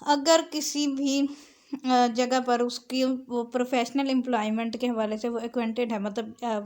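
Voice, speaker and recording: A woman with a fairly high voice delivers a lecture. She reads passages out deliberately, then explains them step by step. She moves back and forth between Urdu-Hindi and English as she teaches. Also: darkish tone. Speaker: law lecturer.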